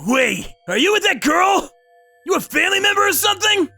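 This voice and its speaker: A man voicing a whining, self-excusing boss in a manga dub, frantic and shrill as he pleads. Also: big pitch swings, fairly quick, vocal fry, no room sound, soft background music, full character voice. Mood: indignant panic. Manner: shrill, frantic, shouting pleas. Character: panicking, whining, self-excusing male boss being tortured.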